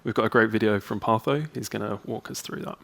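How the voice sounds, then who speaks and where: A man's voice: lowish voice, fast talker, vocal fry, a bit bright. A man giving a chatty, upbeat conference talk on stage into a handheld mic. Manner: upbeat, chatty, many ums. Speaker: conference speaker. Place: stage in a large hall.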